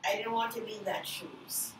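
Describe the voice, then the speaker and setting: An older Jamaican woman speaks in a measured, earnest way, explaining her choices at an unhurried pace. Her voice sits in a middle register and is picked up by a camera some distance away. The room is echoey, with a faint hiss underneath. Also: medium pitch, level tone. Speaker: interviewee. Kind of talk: reflective personal storytelling. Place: sunlit room by glass doors. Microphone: far camera. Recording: roomy, far from the mic, faint noise.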